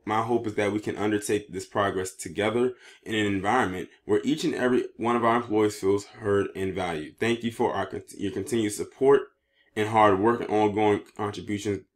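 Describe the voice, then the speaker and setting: A man presenting news commentary for an online video, reading articles aloud and adding his own remarks, sometimes stumbling over words. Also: on the low side.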